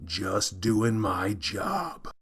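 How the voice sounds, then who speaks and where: A man voicing a dim, macho cop in a read-aloud story, growling his lines slowly. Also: lowish voice, animated, gravelly, sharp tone, husky, exaggerated voice. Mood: gruff. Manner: slow, growling. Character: dim, macho male cop, stiff and gruff. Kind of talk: storybook character voice.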